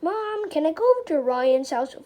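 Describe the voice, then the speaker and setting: A woman's voice: high-pitched, sing-song, moderate pace, warm tone. A woman voicing a mischievous, whiny schoolboy in a storybook, pleading and making excuses. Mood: evasive.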